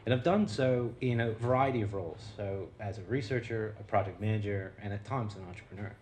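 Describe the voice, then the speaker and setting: A man's voice: fairly low pitch, fairly quick, darkish tone, slight background noise. American man giving a casual university talk. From United States. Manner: conversational, with frequent fillers and restarts. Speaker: researcher. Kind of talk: public talk with slides.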